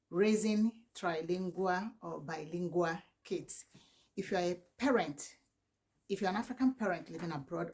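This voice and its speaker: A woman's voice: fairly low pitch, darkish tone. A woman in her forties with a Nigerian accent, whose first language is Igbo, giving earnest, pleading advice to camera with many restarts. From southeastern Nigeria. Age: 40s. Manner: earnest and pleading, with repeated "please" and frequent restarts. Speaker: vlogger, mother of four.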